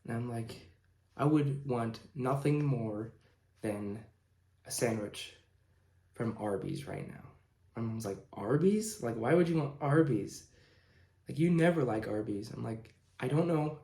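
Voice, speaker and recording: A young man in his late teens tells a personal story in a casual, informal style. His delivery is halting, with pauses in the middle of sentences. His medium-pitched voice sits in a roomy, echoing indoor space. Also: animated, dark tone. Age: young adult, late teens. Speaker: vlogger telling own story.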